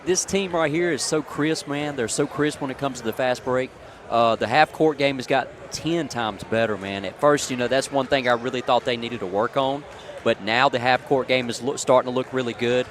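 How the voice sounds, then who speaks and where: A man giving casual, folksy basketball color commentary on a live radio broadcast. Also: medium pitch. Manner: folksy, conversational, peppered with "man". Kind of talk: casual pregame analysis. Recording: noisy gym ambience behind live broadcast.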